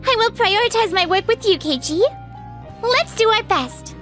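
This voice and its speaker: A woman's voice: very high voice, expressive, moderate pace, fairly bright tone, faint music behind. A woman voicing an earnest, easily flustered young businesswoman in a romantic comedy manga dub. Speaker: voice actor.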